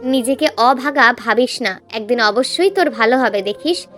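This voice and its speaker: A woman's voice: fairly high pitch, normal speed, fairly bright tone, faint music behind, close to an everyday voice. A woman voicing a loyal, concerned young man in emotional story dialogue, earnest and familiar. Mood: reassuring.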